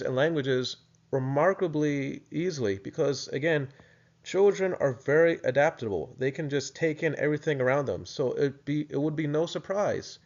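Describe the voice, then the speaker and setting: American man explaining scripture, muffled sound. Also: mid-range voice. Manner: thoughtful, with frequent restarts and fillers. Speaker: video essay presenter. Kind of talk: casual explanatory lecture.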